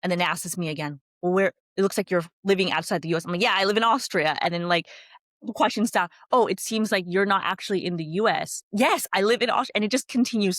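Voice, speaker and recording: A woman from California, a company founder, chatting in a video-call interview and telling her story in a lively, animated way. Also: lowish voice, no room sound, clean studio sound.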